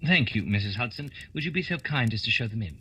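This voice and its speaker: A man's voice: mid-range voice, expressive, quick pace, pushed and bright, slightly rough, full character voice. A man playing a self-assured, pompous Victorian detective with formal, articulate speech in a radio drama.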